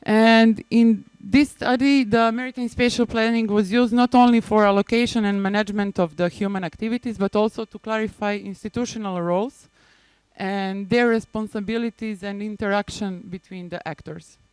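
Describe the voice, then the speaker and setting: A woman with a Serbian accent giving a prepared conference presentation in a hesitant, halting manner, often restarting phrases. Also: mid-range voice, fairly even intonation, darkish tone, smooth, no room sound, low-quality recording. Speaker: conference presenter, maritime spatial planner.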